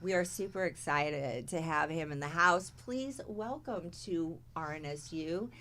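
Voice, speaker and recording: A woman hosts a casual podcast with a bright, forward tone. She introduces her guests with bubbly enthusiasm and keeps the chat lively. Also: low-pitched, a little slow, a little hiss or hum. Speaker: podcast host.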